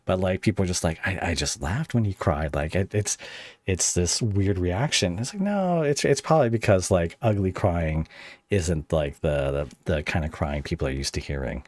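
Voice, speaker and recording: Male podcast host, notably low voice, casual conversational interviewing, dry close recording. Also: lively intonation, normal speed, darkish tone, no room sound.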